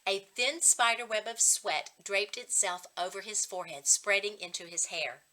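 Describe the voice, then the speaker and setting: A woman reading a children's story aloud as a reading coach, in expressive narration. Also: mid-range voice, moderate pace.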